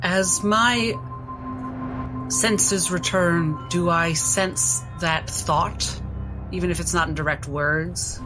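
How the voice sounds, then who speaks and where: Low-voiced woman playing a tabletop game. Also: fairly even intonation, slow, rough recording. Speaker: player. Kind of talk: describing her character's actions in a tabletop game. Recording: music bed behind the voice. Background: music.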